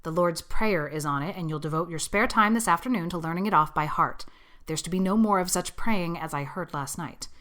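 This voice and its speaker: A stern older spinster with a lowish, hard-edged voice, acted by a woman narrator. She is brisk and practical, laying down rules with grim disapproval in a storybook reading. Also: fairly bright tone, natural voice. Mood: stern, commanding. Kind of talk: audiobook character acting.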